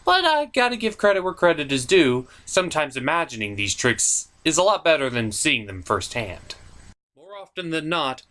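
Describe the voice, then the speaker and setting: A man's voice: fairly high pitch, sing-song, moderate pace, pushed and bright. A man hosting a puppet review show, narrating a scripted comedic cartoon review in a theatrical, mock-exasperated manner.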